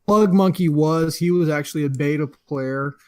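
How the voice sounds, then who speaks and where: A man's voice: a little high, normal speed, no echo, clean studio sound. A man hosting a casual podcast, telling a long story with many fillers and easy laughs. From Florida. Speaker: podcast host.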